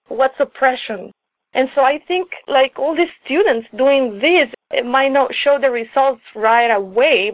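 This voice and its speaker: A woman in her twenties from El Salvador, an activist telling her story slowly and personally in an interview over a call. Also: a little high, dry recording. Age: 20s. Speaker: guest, activist organizer. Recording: tinny phone line.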